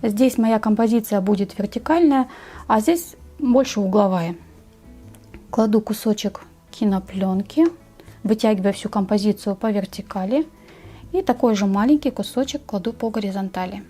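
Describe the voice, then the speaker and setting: A woman narrating a step-by-step craft tutorial in a measured, explanatory way. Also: medium pitch, warm tone, slightly rough, faint music behind.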